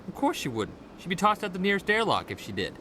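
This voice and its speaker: A man's voice: sits high, huge pitch swings, quick pace, sharp tone, a little hiss or hum, heavily acted. An actor playing a defensive, ribbing young male soldier in a video game. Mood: dry, needling.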